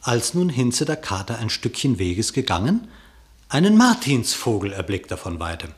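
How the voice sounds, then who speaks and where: A man narrating a verse fable for a radio play, expressive and measured in his declamation. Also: mid-range voice.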